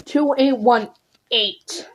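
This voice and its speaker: A woman reading out an improvised mock emergency alert in a halting, deliberate way, with stumbles. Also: on the high side, lo-fi sound.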